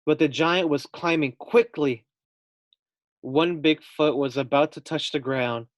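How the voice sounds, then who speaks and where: Male teacher, storybook read-aloud: deliberate pace, dry close sound, asks young listeners questions. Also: a little high, no echo.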